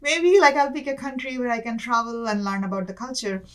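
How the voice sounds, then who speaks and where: A young woman from Bangladesh, a guest in an interview, talking casually with many fillers and soft laughs. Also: on the high side, fairly quick. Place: campus studio.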